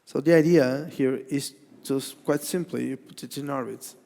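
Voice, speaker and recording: Gravelly-voiced man with a Portuguese accent. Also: mid-range voice, warm tone. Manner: halting, many restarts and fillers. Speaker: conference speaker. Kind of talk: technical conference talk. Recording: reverberant hall.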